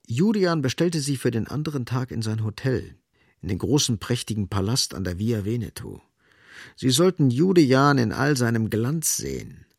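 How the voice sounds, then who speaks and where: A man's voice: mid-range voice, very animated, normal speed, gravelly, slightly rough, dry recording, clean studio sound. A man reading literary scripted narration as the narrator of a radio drama.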